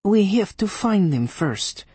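Male narrator putting on a haughty Polish witch woman, dignified and sarcastic, higher lively voice, husky edge. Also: slow, no room sound, exaggerated voice. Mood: businesslike. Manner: dignified, sarcastic, coolly diplomatic.

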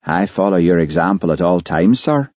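A man's voice: deep voice, expressive, moderate pace, darkish tone, no room sound, highs cut off. A man playing a clever, sycophantic male detective in an audiobook, efficient and deferential. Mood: fawning. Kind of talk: audiobook character voice.

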